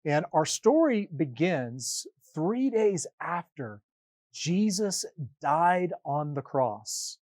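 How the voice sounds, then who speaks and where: A man reading a children's Bible story aloud as a priest, with dramatic, deliberate phrasing and pauses. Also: fairly high pitch, big pitch swings, a little mellow, no room sound, clean studio sound.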